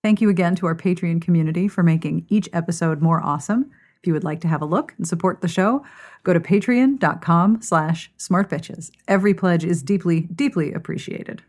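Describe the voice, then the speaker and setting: A woman's voice: on the low side, fairly quick, darkish tone. A woman hosting a podcast in an informal, playful, conversational way. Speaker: podcast host. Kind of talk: chatty podcast hosting and sign-off.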